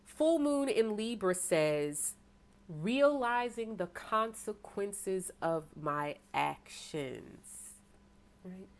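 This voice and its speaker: An American woman with a deep voice giving a tarot sermon, preaching and asking "right?" again and again. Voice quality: self-described deep voice. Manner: preachy, rhetorical, asks "right?" often, bursts of fast talk. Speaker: tarot reader. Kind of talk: tarot sermon to an online audience.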